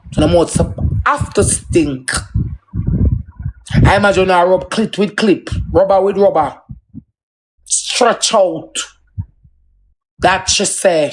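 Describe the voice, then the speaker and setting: High-pitched Jamaican man ranting. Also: background noise. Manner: combative, profane, emphatic. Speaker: social media live streamer. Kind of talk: unscripted livestream rant in Patois.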